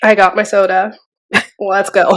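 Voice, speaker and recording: A woman in her thirties co-hosting a podcast, nasal from a cold, relaxed and joking in casual chat. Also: medium pitch, measured pace. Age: 30s. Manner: laughs easily, relaxed and joking. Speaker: podcast co-host.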